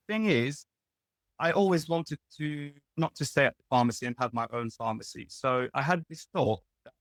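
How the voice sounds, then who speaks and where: A man's voice: medium pitch, a bit bright, no echo. A young Lebanese man, whose first language is Arabic, speaks as an interview guest in a candid way, often restarting and correcting himself. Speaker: guest, pharmacist. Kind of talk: casual interview talk.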